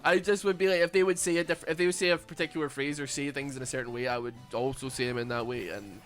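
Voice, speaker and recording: A man's voice: medium pitch, steady tone, fast talker, a bit bright, soft background music. A Scottish man with a Glaswegian accent and a lisp, mumbling and rambling in casual chat while streaming a game. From Scotland. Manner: mumbling, rambling with many fillers.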